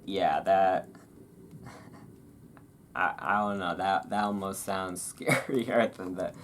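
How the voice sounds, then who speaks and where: A host doing a relaxed ASMR-style reading aloud, breaking off into informal, musing asides. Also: low voice, takes it slowly, noisy background. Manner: informal, chatty, musing asides between read passages.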